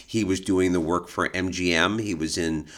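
A man co-hosting an informative podcast conversation, explaining things in a measured way with hesitant pauses. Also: low-pitched, fairly even intonation, a bit bright. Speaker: podcast co-host, creative director of an arts foundation.

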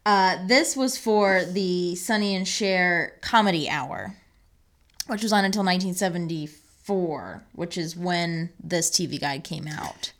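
A woman's voice: on the low side, measured pace, fairly bright tone. An American woman co-hosting a podcast in casual, informal conversation, often chiming in with agreement. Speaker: podcast co-host.

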